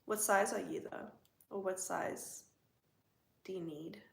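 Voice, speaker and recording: Female livestream host, unhurried and teasing, a bit of vocal fry, roomy home sound. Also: medium pitch, steady tone. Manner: chatty, teasing, talks to viewers' comments. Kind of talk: casual livestream chat.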